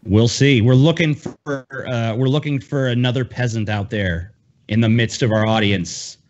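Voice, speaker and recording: A man's voice: medium pitch, normal speed, a little mellow, dull audio. A man co-hosting a podcast in casual chat, blunt and informal, with some swearing. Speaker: podcast co-host.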